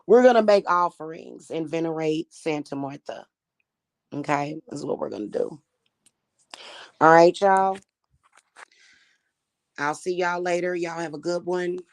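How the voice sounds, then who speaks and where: A woman talks straight to her viewers in a loose, chatty way. Her voice is slightly hoarse, and her tone stays defiant and unbothered. She calls the audience "y'all" throughout. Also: sits low, a little slow, pauses often. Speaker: spiritual reader and channel host. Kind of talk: casual talk to viewers.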